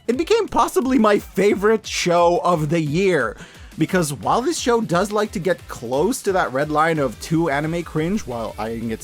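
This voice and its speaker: Animated higher-voiced man reviewing with gusto. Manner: enthusiastic, emphatic, casual and profane. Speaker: host of a media review channel. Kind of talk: scripted review commentary.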